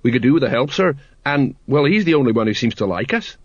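A man's voice: mid-range voice, lively intonation, fast, no echo, lo-fi sound, lightly acted. Man voicing an anxious, hesitant old-school sergeant. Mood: pleading. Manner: hesitant, pleading, urgent, peppered with sir. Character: anxious, deferential middle-aged male police sergeant.

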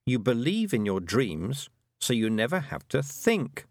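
Man voicing a determined, stubborn young girl, lively intonation. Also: medium pitch, unhurried, no echo, clean studio sound. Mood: quietly defiant. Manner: plain-spoken, defiant, determined. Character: stubborn, quick-witted nine-year-old girl.

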